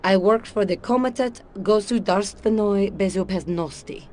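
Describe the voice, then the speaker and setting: An actress playing a cool, confident Soviet KGB agent in a video game, with a Russian accent and a commanding, matter-of-fact manner. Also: female voice, mid-range voice, normal speed, a little mellow, a little hiss or hum, natural voice. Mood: cool, assured. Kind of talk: video game cutscene acting.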